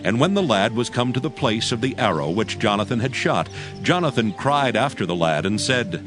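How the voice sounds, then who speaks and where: A man's voice: mid-range voice, expressive, moderate pace. A man giving a solemn, scripted dramatic narration of scripture in a storytelling manner. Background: music.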